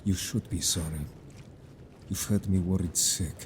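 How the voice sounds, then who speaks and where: A man's voice: low voice, unhurried, noisy background. A man playing a stern but caring, protective merman in a fantasy audio drama, speaking firmly and formally. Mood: stern, upset. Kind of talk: scripted audio drama acting.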